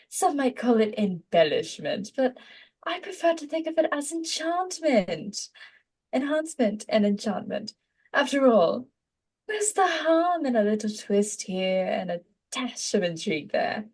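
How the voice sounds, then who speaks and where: A woman playing a gossipy, self-satisfied witch journalist, drawling with dramatic pauses and changes of tempo. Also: on the high side, darkish tone.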